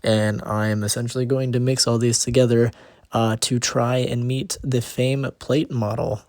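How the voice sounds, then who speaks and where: A young man with a cold, sounding nasal and raspy, casually walking through a homemade meal in a cooking video, pausing often with ums. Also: medium pitch, flat delivery, darkish tone, no room sound, studio quality. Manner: hesitant, many ums and uhs, lists nutrients. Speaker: student making a cooking video. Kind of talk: casual explanatory cooking vlog.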